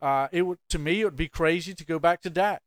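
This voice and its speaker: A man co-hosting a podcast, talking sports in an animated, joking way and quizzing his co-host. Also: a little high, fast talker, no room sound, studio quality. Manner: animated, jokey, plays the interviewer. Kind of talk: casual sports chat.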